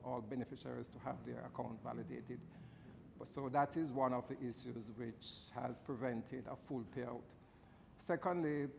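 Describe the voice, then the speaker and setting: A Jamaican man, a government official, answering at a hearing in a halting way, repeating words as he goes. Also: mid-range voice. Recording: echoey room with clear background noise.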